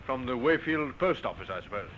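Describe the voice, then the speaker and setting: An actor playing a businesslike police inspector in an old radio drama, asking clipped, polite, probing questions. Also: male voice, mid-range voice, animated, fairly quick, a bit bright, lightly acted. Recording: muffled, noisy old radio recording.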